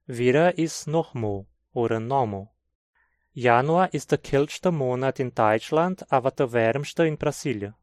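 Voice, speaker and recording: Male course reader, Hunsrik-accented German, unhurried and clear, dry studio sound. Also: medium pitch, lively intonation, a little mellow, no room sound. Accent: Brazilian Hunsrik German. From southern Brazil. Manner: slow, clear teaching delivery. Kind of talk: scripted textbook dialogue.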